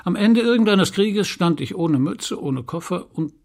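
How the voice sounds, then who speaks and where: A man playing a writer who recounts his life in a literary radio monologue, measured, dry and deliberate. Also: high-pitched, expressive. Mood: reflective. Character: deadpan male writer solemnly recounting his uneventful life.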